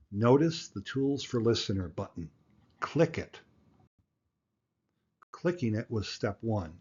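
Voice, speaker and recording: A man's voice: fairly low pitch, a little mellow, slightly rough, highs cut off. A man narrating an explanatory tutorial as an instructor, plain-spoken and going through things step by step. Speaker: amateur radio instructor, video host.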